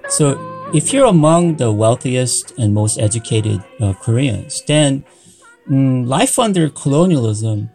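A man with an American accent speaking reflectively in an interview, explaining history in a halting, thoughtful way. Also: medium pitch, expressive, darkish tone, faint music behind. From United States.

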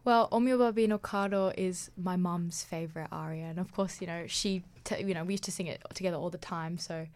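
A woman's voice: on the low side, steady tone, fairly quick, darkish tone. A young woman student singer answering questions casually, often saying "you know". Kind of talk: interview answers.

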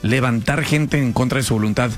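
A man's voice: mid-range voice, fairly quick. Slangy male radio host over faint music. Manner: casual, uses slang with callers. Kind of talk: radio talk show hosting.

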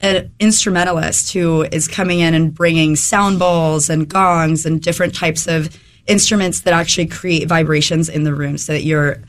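A woman interview guest, a little hoarse from a head cold, explaining things conversationally. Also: sits low, fairly even intonation, normal speed. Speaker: interview guest, wellness business owner.

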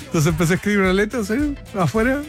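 A middle-aged man with a Chilean accent, a musician guest in a casual interview, telling stories in an animated way and acting out the dialogue. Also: high-pitched, fast talker, soft background music. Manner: animated storytelling, acts out dialogue.

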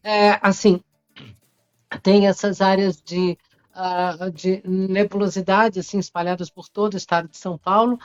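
A woman weather reporter explaining the forecast live, unhurried, with fillers. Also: mid-range voice, little pitch movement, smooth, no echo, lo-fi sound, soft background music.

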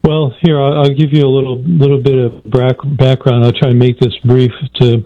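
Earnest guy calling in, flat voice, keeps restarting, muffled phone sound. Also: medium pitch, moderate pace, dry recording. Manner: hesitant, restarts often, earnest. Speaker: caller. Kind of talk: phone-in caller explaining a question. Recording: dull lo-fi phone line.

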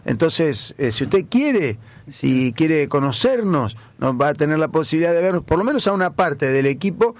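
A man's voice: a little high, very animated, normal speed, no room sound. A man co-hosting a radio show in casual chat, agreeable and backing up the host. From Córdoba, Argentina.

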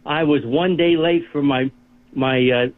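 A man's voice: a little high, a little slow. A man in his eighties calling in to a radio talk show, chatty and telling stories with laughs. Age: 80s. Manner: chatty storyteller, laughs at his own tales. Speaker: caller. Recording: phone line.

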